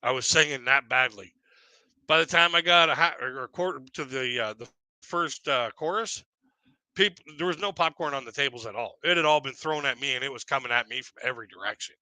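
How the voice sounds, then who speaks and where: A middle-aged man telling self-deprecating comic stories on a live stream in a rambling, halting way. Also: bright, forceful tone, no room sound, poor audio. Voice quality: deep voice, by his own account. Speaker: stand-up comedian and live streamer.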